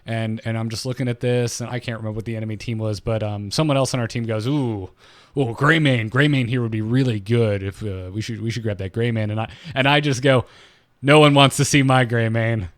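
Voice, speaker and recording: A man's voice: mid-range voice, fairly even intonation, a little fast. A man co-hosting a gaming podcast, telling stories in a chatty way with many restarts. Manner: chatty storyteller, stammers and restarts. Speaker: podcast co-host. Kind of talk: casual gaming podcast talk.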